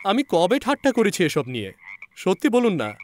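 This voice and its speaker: A man acting an eager, teasing male listener in an audio story, loud and insistently questioning. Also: high-pitched, huge pitch swings, moderate pace, voice pushed up. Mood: coaxing.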